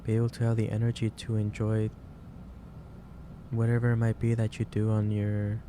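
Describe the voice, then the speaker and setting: The man-acted kindly manager in an ASMR roleplay who stops at your desk after hours, lowish voice barely moving, hesitantly fretting over you through steady background noise. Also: natural voice. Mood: gently concerned. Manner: hesitant, halting, repeats phrases. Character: gentle, caring male office boss, worried and lecturing. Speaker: roleplay performer. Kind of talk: ASMR roleplay, soft-spoken monologue.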